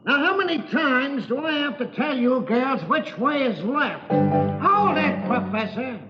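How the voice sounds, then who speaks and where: Very high-pitched exasperated dance-hall boss. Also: male voice, big pitch swings, measured pace, exaggerated voice. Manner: bossy, sarcastic, repetitive. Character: exasperated, fussy dance-hall boss drilling chorus girls. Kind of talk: 1940s radio acting. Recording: muffled old radio, roomy echo, music.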